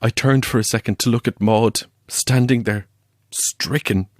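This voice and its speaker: An actor playing a haunted believer in the paranormal, telling a chilling tale in a deliberate, halting way for an audio drama. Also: male voice, mid-range voice, no room sound, studio quality, close to an everyday voice. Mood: dread. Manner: deliberate, halting, suspenseful storytelling.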